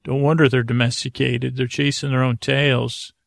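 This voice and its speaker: A man with a creaky voice hosting a bedtime podcast, rambling soothingly and whimsically, stammering and circling back on himself as he goes. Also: medium pitch, little pitch movement, no echo. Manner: meandering, halting, stammering, repeating himself; soothing, whimsical, absent-minded. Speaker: podcast host. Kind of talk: rambling bedtime monologue meant to lull listeners to sleep.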